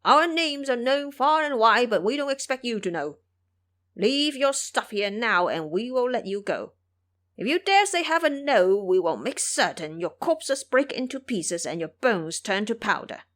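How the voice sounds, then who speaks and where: A woman's voice: on the high side, big pitch swings, measured pace, fairly bright tone. A woman voicing menacing, pompous male bandits who bluster and threaten in an audio drama.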